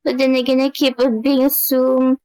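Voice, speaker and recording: A woman's voice: level tone, normal speed, darkish tone, clear, resonant tone, no room sound. A young woman chatting casually on a live stream, rambling and giggling often. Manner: giggly, rambling, high-pitched.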